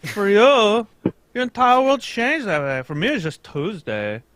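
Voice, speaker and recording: Man plays smug movie villain, vaguely foreign, slow theatrical menace. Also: sits high, very animated, fairly bright tone, no echo, heavily acted. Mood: smug, menacing. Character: film villain boasting coldly, in odd foreign voice.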